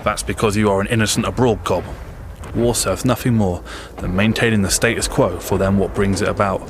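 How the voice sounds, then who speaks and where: A man acts out a plain-spoken, weary sergeant from the Great War trenches in a radio play. His voice sits low, with a London accent and blunt soldierly turns of phrase. Clear outdoor noise surrounds him. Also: fairly even intonation, a little fast, natural voice. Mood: blunt, cynical. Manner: blunt, world-weary, colloquial. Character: gruff, plain-spoken British army sergeant of World War One. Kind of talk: audio drama acting.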